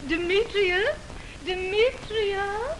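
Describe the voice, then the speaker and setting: High-voiced lovelorn maiden, old radio. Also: female voice, full character voice. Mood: ardent, defiant. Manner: pleading, declamatory, drawn-out delivery. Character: lovelorn young woman desperately pursuing her scornful beloved. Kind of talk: 1920s radio Shakespeare acting. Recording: noisy 1929 radio aircheck.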